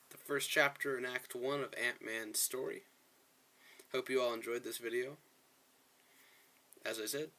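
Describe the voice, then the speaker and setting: A man's voice: medium pitch, fairly bright tone. A man giving casual gameplay commentary as a video host, chatting to viewers in a rambling, self-correcting way.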